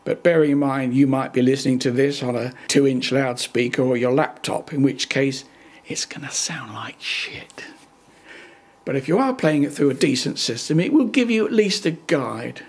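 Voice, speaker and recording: An older man talking casually and technically about his home loudspeakers, with wry, plain-spoken asides, recorded on a camera's built-in microphone in a living room. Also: medium pitch, moderate pace, husky. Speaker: hobbyist reviewer.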